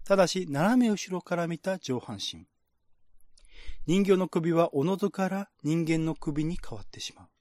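A man's voice: on the high side, expressive, normal speed, a little mellow, no echo, clean studio sound. A man reading scripted narration in a radio-drama style, as the narrator of a screenplay.

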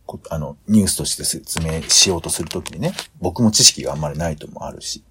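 A man's voice: extremely low pitch, fast, bright, forceful tone, slight background noise. A man co-hosting a podcast in a casual planning chat, feeling his way through roundabout, hesitant phrasing. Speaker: podcast co-host.

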